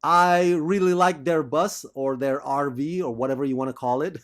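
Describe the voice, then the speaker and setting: A man delivering a measured, enthusiastic film review monologue, laughing now and then. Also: fairly high pitch. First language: not Thai.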